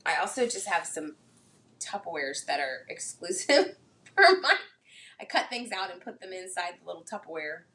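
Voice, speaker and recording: A woman co-hosting a card-making live stream, chatting in an animated, giggly way. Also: lowish voice, normal speed, distant mic. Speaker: co-host.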